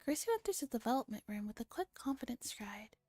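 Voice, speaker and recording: A woman streamer with a soft, often whispery voice, reading a visual novel in ASMR style with casual comments. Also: on the high side, animated, fast talker, no room sound, clean studio sound.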